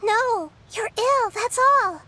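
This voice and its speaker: An actress playing a small, gentle young goddess in a video game, earnest, thoughtful and pleading. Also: female voice, very high-pitched, slow pace, heavily acted. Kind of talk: dramatic video game voice acting.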